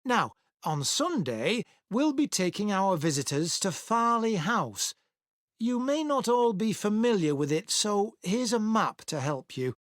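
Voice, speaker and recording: Woman acting friendly tour guide in a test recording, explains helpfully, slow, dry studio sound. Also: mid-range voice, very animated, dry recording. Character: friendly organised tour guide briefing volunteers. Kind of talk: scripted listening-test monologue.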